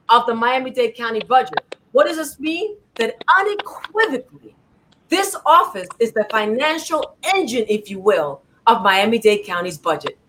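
A woman's voice: high voice, pushed and bright, a little hiss or hum, rough recording. Friendly chatty woman hosting an interview. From New York. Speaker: talk show host. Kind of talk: interviewing a guest.

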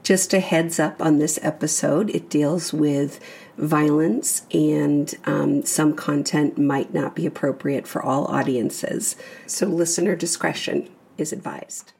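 A woman with a husky, creaky voice reading a scripted podcast introduction as host. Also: deep voice, steady tone, slow, darkish tone.

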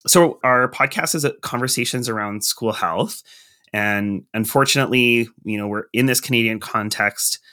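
A man's voice: mid-range voice, normal speed, pushed and bright. A man hosting a podcast, asking long, framed questions in a conversational way. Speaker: podcast host. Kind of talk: interview questions.

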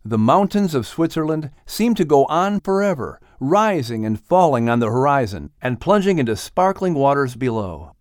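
A man narrating a children's audiobook in an expressive, scripted storytelling style. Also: mid-range voice, normal speed, hardly any pauses, no echo, studio quality.

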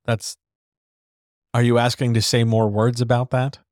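Male host, mid-pitched, chatty and unpolished, teaching writing on a dry close mic. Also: flat delivery, a little slow, darkish tone, no room sound. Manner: conversational, loose, with fillers and asides. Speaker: host of a writers' chat. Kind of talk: casual live-stream teaching chat.

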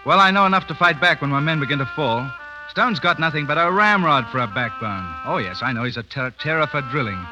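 An actor playing a hot-headed, sarcastic British army lieutenant in colonial India, blunt and sardonic, in a 1930s radio drama. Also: male voice, on the high side, animated, a little fast, close to an everyday voice. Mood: angry, resentful. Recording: dull vintage 1930s radio, music behind.

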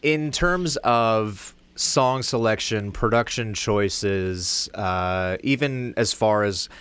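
Lowish-voiced male interviewer. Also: takes it slowly, a bit bright, clean tone. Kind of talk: conversational interview questions.

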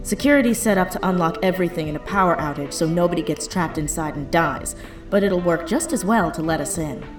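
A woman playing a brisk, sardonic hacker leading a heist in an audio drama, blunt and casual in delivery. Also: fairly low pitch, close to an everyday voice. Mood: brisk, businesslike. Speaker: voice actor in a fiction podcast. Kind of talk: naturalistic audio drama acting. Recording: roomy echo, faint music underneath.

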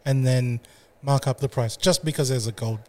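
Blunt, swearing man on a podcast. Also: mid-range voice. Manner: blunt, swears freely, quick quips. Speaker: podcast co-host. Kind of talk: casual chat.

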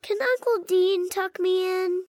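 A woman voicing a playful small young girl with childlike, drawn-out speech in an audiobook story. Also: very high voice, darkish tone, no room sound, clean studio sound, pitched above the performer's own voice. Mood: playful, pleading.

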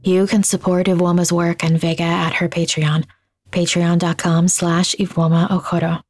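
A woman hosting a podcast, reading scripted outro announcements and credits in a steady, even manner. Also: lowish voice, moderate pace, dry recording. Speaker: podcast host.

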